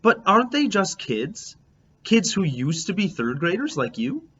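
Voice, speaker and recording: A man's voice: high voice, very animated, unhurried, a bit bright, voice pushed up, full character voice. A man voicing an easygoing, playfully questioning father in a children's storybook. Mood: puzzled. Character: easygoing, teasing, puzzled father of young girl. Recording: dull lo-fi, background noise.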